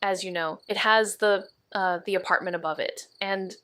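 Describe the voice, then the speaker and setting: A woman playing an awkward, dryly wry amateur sleuth in an audio drama, speaking in a halting, hedging way. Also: mid-range voice, fairly even intonation, a bit bright, clean tone, natural voice. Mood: awkward, teasing. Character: awkward young female amateur sleuth, narrating noir-style. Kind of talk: audio drama dialogue and noir narration.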